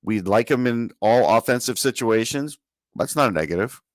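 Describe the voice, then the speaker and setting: A man's voice: medium pitch, lively intonation, moderate pace, dry recording, studio quality. A man co-hosting a sports podcast, talking through analysis in a conversational way.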